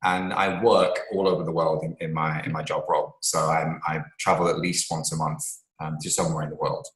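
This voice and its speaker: Deep-voiced man in an echoey room. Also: flat delivery, brisk. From England. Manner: rambling, many ums and restarts. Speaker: author and scientist guest. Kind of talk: interview answers.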